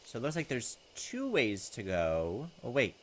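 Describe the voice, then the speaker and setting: A man's voice: mid-range voice, wildly swooping pitch, a little slow, slight background noise. A man hosting a let's play, reading visual novel text aloud and chatting in a hesitant, thinking-aloud way. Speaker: gaming video host. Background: game music.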